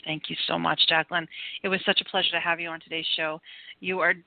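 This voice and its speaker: A woman's voice: fairly low pitch, little pitch movement, quick pace, gravelly, dry recording, narrow, tinny audio. A woman hosting a conversational interview show, effusive and encouraging in the way she talks. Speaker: show host.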